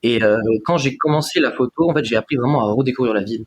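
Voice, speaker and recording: A man's voice: mid-range voice, fast talker. A man from the Paris region speaking as an interview guest, reflective and full of anecdotes about his photography. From Paris region, France. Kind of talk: casual interview chat.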